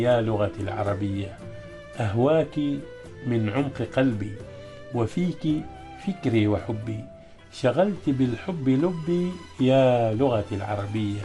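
A male poet interviewed as a guest, speaking hesitantly and then reciting his poems in a slow, chanting cadence. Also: mid-range voice.

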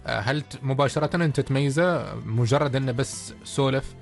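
A man with an Omani Arabic accent co-hosting a radio talk show, relaxed and full of hesitant fillers. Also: mid-range voice, fairly quick, creaky, faint music behind. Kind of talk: casual radio chat.

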